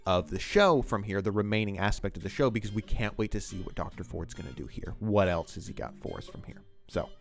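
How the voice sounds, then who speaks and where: Male video essayist, low voice, enthusiastic, rambling scene commentary, faint guitar music behind. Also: a little fast, few pauses.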